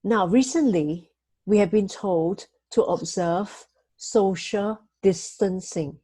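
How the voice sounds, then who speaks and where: Gentle woman, mellow and breathy. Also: mid-range voice, no echo. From Singapore. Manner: gentle, measured, frequent "you know" fillers. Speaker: host giving weekly life tips. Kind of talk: motivational talk with slides.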